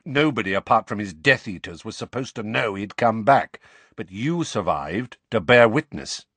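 A man's voice: fairly low pitch, animated, moderate pace, a bit bright, husky, dry recording, exaggerated voice. A man voicing a defiant, protective grown man in an audiobook, speaking firmly and bluntly. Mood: explaining. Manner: firm, blunt, informal.

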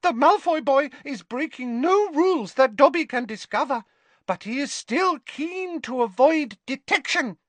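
A man voicing an excitable, loyal male house elf with a high, squeaky voice. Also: a little slow, no echo, exaggerated voice. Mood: eager. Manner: squeaky, breathless, speaks of himself in the third person.

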